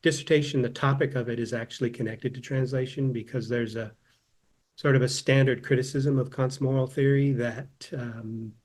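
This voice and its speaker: A man's voice: medium pitch, fairly even intonation, moderate pace, a little mellow. A man in his fifties from Southern California, a philosophy professor speaking as a guest in a casual interview, thoughtful and often repeating words as he restarts.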